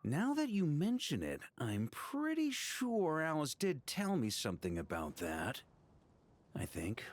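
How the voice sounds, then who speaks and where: An actor playing an easygoing, boastful veteran knight commander in a video game, telling tales with theatrical self-assurance. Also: male voice, on the high side, huge pitch swings, a little slow, exaggerated voice. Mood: breezy, evasive. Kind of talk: English video game voice acting. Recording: game music behind the voice. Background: game music.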